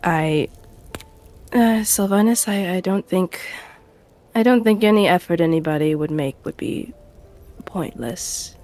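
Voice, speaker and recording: Gentle, unhurried unicorn adventurer, played by a woman. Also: lowish voice. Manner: halting, earnest, reassuring. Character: gentle, caring nonbinary unicorn adventurer in disguise, Kalei.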